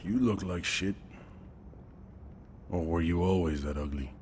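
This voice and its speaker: Slow, deep, wry soldier. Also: male voice, darkish tone. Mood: wry, grateful. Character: wry, battered male soldier, gruff but loyal. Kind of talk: video game cutscene acting. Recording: faint ambient noise behind.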